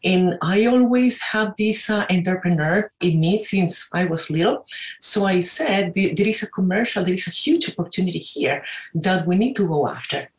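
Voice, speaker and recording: A woman tells her story as an interview guest, chatty and full of fillers and tag questions. Her voice sits on the low side and rings in an echoey room. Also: normal speed. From Venezuela. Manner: chatty, many fillers and "right?" tags. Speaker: guest entrepreneur.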